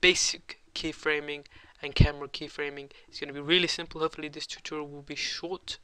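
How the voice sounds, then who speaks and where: A young man with a cold, hosting a casual software tutorial at his computer and rambling with lots of ums and uhs. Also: a little high, flat delivery, a little fast, clean tone. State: has a cold. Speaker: tutorial host.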